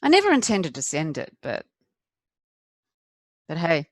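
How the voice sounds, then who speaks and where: A woman performing a confessional stage monologue as a candid, rueful middle-aged wife, wry and self-deprecating, with deliberate emphatic pauses. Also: fairly low pitch, wildly swooping pitch, no echo, clean studio sound.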